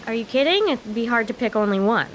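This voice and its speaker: A woman's voice: on the high side, expressive, quick pace, natural voice, low-quality recording. An actress playing an exasperated, sarcastic American daughter in her twenties in a comedic audio drama. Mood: fed up. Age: 20s. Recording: faint background hiss.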